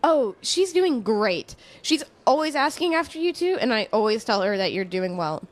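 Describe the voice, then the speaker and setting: A woman playing a kindly college professor in a naturalistic audio drama, fond and gently teasing. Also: fairly high pitch, sing-song, normal speed.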